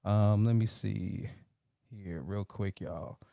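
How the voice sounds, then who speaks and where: A man's voice: fairly low pitch, steady tone, slow pace, creaky, dark tone, no room sound, dull audio. A man hosting a motivational livestream, chatting informally and in a brotherly way as he addresses his viewers. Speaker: livestream host. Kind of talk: casual motivational livestream chat.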